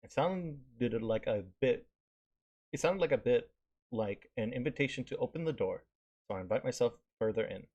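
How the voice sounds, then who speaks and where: Animated streamer narrating a visual novel. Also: medium pitch. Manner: stumbles and re-reads phrases. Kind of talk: live visual novel read-aloud narration.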